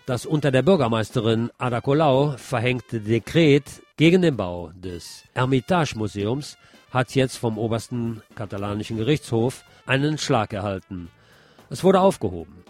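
A man's voice: medium pitch, expressive, normal speed, darkish tone. Man reading radio news over music. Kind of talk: scripted local news reading in a radio show.

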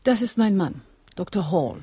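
A woman playing a polite, reserved English gentlewoman in a German radio drama, speaking formally. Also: medium pitch, big pitch swings, moderate pace, breathy edge, dry recording, studio quality. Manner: courteous, formal.

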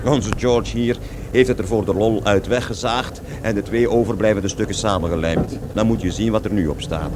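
A man's voice: moderate pace, lightly acted. A man playing a disgruntled, self-deprecating working-class character who rambles wryly about his grievances in a radio play. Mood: wry, rueful. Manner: wry, hesitant, rambling. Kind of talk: radio play acting, conversational. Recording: noisy radio play with vehicle rumble.

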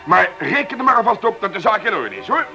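Casting: assuring, eager fellow, high quick voice, radio play. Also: male voice, very animated, gravelly, loud music behind the voice, exaggerated voice. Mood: confident. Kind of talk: radio drama acting.

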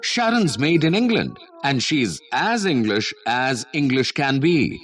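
A man voicing an excitable, pushy young boy who shouts insistently and shrilly in a storybook. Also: medium pitch, measured pace, faint music behind, voice pushed up. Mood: accusing. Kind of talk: storybook character voice.

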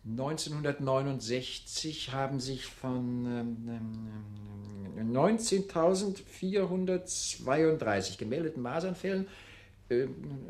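A man playing a halting lecturer who recites dry medical facts with many ums in a radio play. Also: medium pitch, a little mellow. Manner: hesitant, with many ums between clinical phrases.

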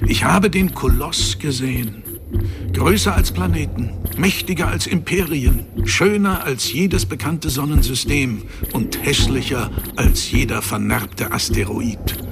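An actor playing an awed, solemn narrator in a science fiction radio drama, speaking poetically. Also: male voice, mid-range voice, measured pace, creaky, music in the background. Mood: solemn awe. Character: awed male narrator of a vast space colossus.

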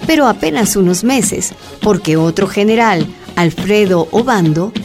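A woman's voice: medium pitch, expressive, a little slow, darkish tone. A woman performing scripted narration for a radio drama, with music behind her. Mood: measured, narrating. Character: woman narrator telling a miner woman's history. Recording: music under the voice.

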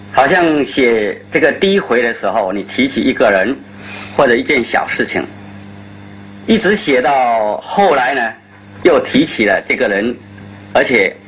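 Deliberate male storyteller, medium pitch. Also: fairly bright tone, low-quality recording. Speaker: Hokkien storyteller, panel guest. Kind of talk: conversational questioning on a panel. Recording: old radio recording, faint hum.

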